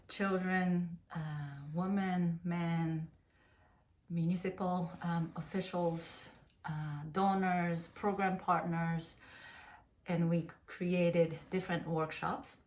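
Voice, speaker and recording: A woman in her forties introducing an architecture course, speaking in a measured way with frequent pauses and fillers. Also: deep voice, echoey room, slight background noise. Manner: slow, measured, many "um" pauses. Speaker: architecture lecturer. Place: small room with a plain wall.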